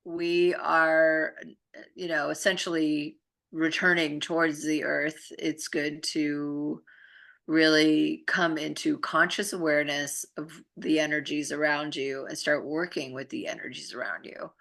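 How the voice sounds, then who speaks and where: Middle-aged female co-host, earnest explainer in a casual chat; low register, forward bright tone. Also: little pitch movement, measured pace, gravelly. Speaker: co-host and healing arts practitioner.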